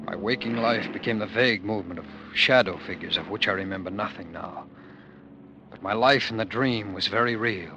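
A man's voice: lowish voice, brisk, natural voice, rough recording. An actor playing a haunted, desperate man on the run from war, speaking with dramatic intensity in mid-century radio style. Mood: brooding, haunted. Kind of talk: 1940s-50s radio drama acting with first-person narration. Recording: vintage radio broadcast with music.

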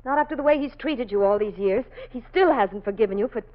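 A woman's voice: on the high side, animated, fast, lightly acted. A woman playing an anxious, insistent young daughter in 1940s radio drama, emotional and pleading. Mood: alarmed, insistent. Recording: muffled 1940s radio recording.

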